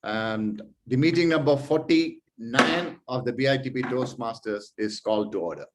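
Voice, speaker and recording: A man's voice: medium pitch, normal speed, a little hiss or hum. A man chairing an online speaking club meeting, hesitant with fillers, then giving an earnest motivational talk full of rhetorical questions.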